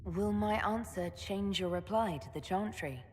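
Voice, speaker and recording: An actress playing a composed, earnest female agent and heroine in a video game, calm and direct. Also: medium pitch, normal speed, slight background noise, lightly acted. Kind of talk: dramatic video game acting.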